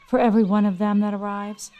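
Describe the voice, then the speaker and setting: A woman's voice: mid-range voice, warm tone, lightly acted. An actress playing an anxious but resigned suburban mother in an audio drama, speaking in an earnest, conversational way. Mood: bitter.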